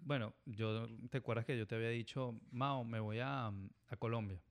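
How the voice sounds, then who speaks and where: A man's voice: mid-range voice, steady tone, normal speed, smooth. Venezuelan man telling stories, casual. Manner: storytelling, acts out quoted dialogue. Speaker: airline pilot guest. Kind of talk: casual podcast chat.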